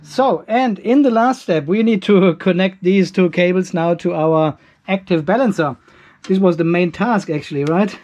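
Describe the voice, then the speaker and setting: An older German-accented man talks through practical work in a garage. His voice sits a little higher than most men's. He is informal and self-deprecating, thinking aloud as he goes. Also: moderate pace, a little mellow. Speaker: DIY video host. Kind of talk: casual hands-on workshop narration. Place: cluttered garage workshop.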